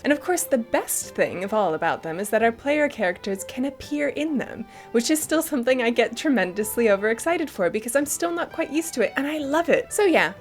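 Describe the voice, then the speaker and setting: A woman giving chatty, enthusiastic and emphatic scripted commentary as the host of a gaming news show. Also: on the high side.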